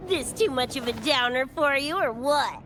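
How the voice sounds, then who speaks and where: A woman's voice: high voice, moderate pace, background noise, close to an everyday voice. A woman voicing a brash, cocky young mercenary with slangy, streetwise swagger in a video game. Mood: breezy, enthusiastic. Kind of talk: animated video game voice acting. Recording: music under the voice.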